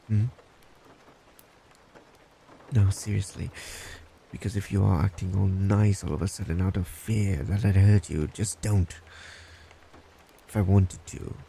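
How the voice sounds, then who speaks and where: Casting: male actor as smug obsessive stalker boyfriend for audio roleplay, dark mellow voice with unhurried delivery, blunt, creepy, faint noise behind. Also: low voice, vocal fry, full character voice. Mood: possessive, smug. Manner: blunt, condescending, teasing. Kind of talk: boyfriend roleplay audio, intimate scripted monologue.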